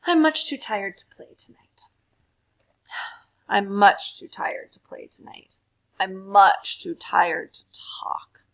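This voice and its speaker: A stubborn, exhausted child drags through a poem with theatrical weariness, acted by a woman. The voice is slow, husky and full of fry. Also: mid-range voice, a bit bright, full character voice, low-quality recording. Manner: drawn-out, dramatically weary. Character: weary child, stubbornly too tired for anything.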